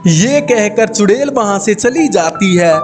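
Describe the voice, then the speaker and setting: Male storyteller, very high pitch, slow pace, scripted cartoon narration, loud background music. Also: animated.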